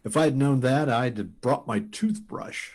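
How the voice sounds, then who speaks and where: A man's voice: medium pitch, a little slow, a little mellow, close to an everyday voice. A man playing a cocky, wisecracking rocket test pilot in a 1950s radio drama style. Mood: breezy, confident. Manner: breezy, wry.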